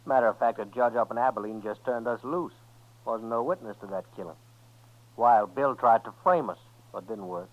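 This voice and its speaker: A man's voice: mid-range voice, normal speed, lightly acted. A man playing a surly, suspicious American gunman in a 1950s radio western, curt and folksy in his speech. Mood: defiant. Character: surly, suspicious male Old West gunman. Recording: dull vintage radio recording.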